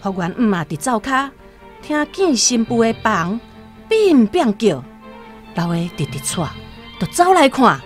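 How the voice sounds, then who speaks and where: A Taiwanese woman narrates a radio drama expressively. Her voice is a bit high, very varied in pitch and takes its time. Music plays behind her. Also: unhurried. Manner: expressive storytelling.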